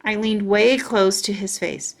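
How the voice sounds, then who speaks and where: A woman's voice: medium pitch, a little slow, creaky. A woman reading a children's storybook aloud as a storyteller.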